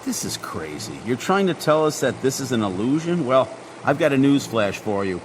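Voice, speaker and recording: A man's voice: medium pitch, sing-song, normal speed, close to an everyday voice. A man playing a skeptical airport official in a radio drama, blunt, emphatic and insistent. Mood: incredulous. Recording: music behind the voice.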